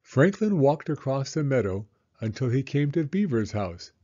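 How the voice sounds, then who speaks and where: A man narrating a children's storybook aloud in an expressive, measured storytelling manner. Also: mid-range voice, dull audio.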